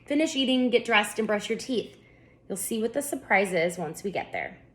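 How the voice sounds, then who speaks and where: A woman voicing a kind, encouraging mother in a children's storybook, with a gentle, reassuring manner. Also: medium pitch, lively intonation, moderate pace, close to an everyday voice. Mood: cheerful. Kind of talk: children's storybook read-aloud.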